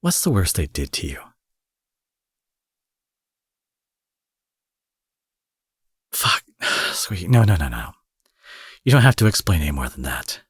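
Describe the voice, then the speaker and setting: Man acting a husky, protective supervillain, expressively swinging pitch. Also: no echo, clean studio sound, heavily acted. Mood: tender, concerned. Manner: sweary, emphatic, coaxing with pet names. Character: angry, protective adult male supervillain, menacing yet tender. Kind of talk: intimate dramatic audio roleplay monologue.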